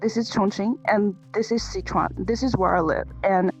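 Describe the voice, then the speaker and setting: Young woman from China, gravelly fry in her voice, explanatory; faint music behind. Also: mid-range voice, normal speed, low-quality recording. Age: 20s. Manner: explains step by step, with fillers. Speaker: guest. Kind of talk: casual discussion.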